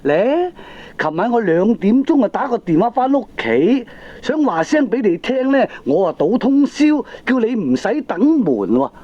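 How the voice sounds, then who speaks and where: Feckless hong kong cantonese husband, defensive, excitable, voice all over the place, dull old cassette drama sound. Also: male voice, very high voice, normal speed, exaggerated voice. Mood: indignant. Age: middle-aged. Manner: blustering, full of exasperated interjections. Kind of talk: 1980s Hong Kong comedy radio drama acting.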